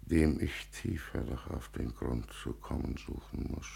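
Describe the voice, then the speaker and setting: Creaky, deep old zealot patriarch. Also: male voice, a little slow, dark tone, full character voice. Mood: fawning, sly. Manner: pompous, preaching, emphatic. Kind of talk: 1950s German radio play acting. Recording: echoey 1950s radio studio recording.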